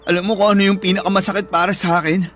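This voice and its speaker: An actor playing an emotional, protective older brother with a Filipino accent in a radio drama, pleading and earnest. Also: male voice, high voice, little pitch movement, racing, a bit bright. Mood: hurt, protective. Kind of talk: Tagalog radio drama acting. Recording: background music throughout.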